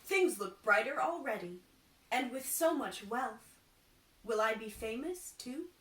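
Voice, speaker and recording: A woman voicing a gullible, excitable young male carpenter in a storybook reading. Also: on the high side, measured pace. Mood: delighted, eager. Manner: excitable, exclaiming. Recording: roomy echo, distant microphone.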